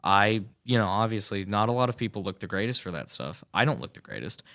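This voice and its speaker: Chatty teenage boy, low voice. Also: sing-song, quick pace, a bit bright. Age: teens. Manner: rambling, many fillers and restarts. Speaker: student guest. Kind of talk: radio interview, earnest and chatty. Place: small radio studio booth.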